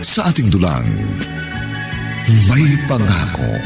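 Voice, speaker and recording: A theatrical Filipino man announces a radio drama programme. His lowish voice moves slowly and grandly, with prominent music underneath. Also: wildly swooping pitch.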